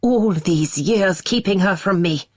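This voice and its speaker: Seething controlling man, low-voiced, slow menacing snarl, read by a woman narrator. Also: lively intonation, breathy edge, dry recording. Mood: furious.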